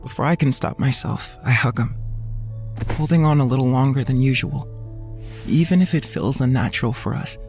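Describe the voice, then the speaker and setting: A sensitive young man at college, played by a male actor, narrates his inner feelings in a measured, introspective way. His mid-pitched voice sits over music in an audio drama. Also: a little mellow, close to an everyday voice. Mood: wistful.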